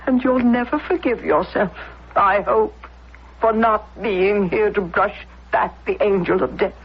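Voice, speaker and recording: A woman's voice: medium pitch, measured pace, slightly rough. A woman acting in a radio drama as a controlling, self-pitying older invalid mother, querulous and martyred. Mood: martyred, reproachful. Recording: muffled radio drama recording.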